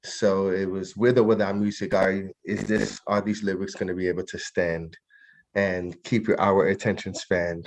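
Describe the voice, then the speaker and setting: Male poet guest, middle-aged, from New York. Very low, flat delivery, reflective conversational style. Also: normal speed, low-quality recording. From New York, of a Trinidadian family. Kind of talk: reflective interview talk.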